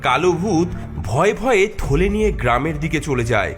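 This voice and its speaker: A male narrator tells a cartoon story from a script. His voice sits a little high and moves with a lively melody, with music clearly behind it. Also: brisk.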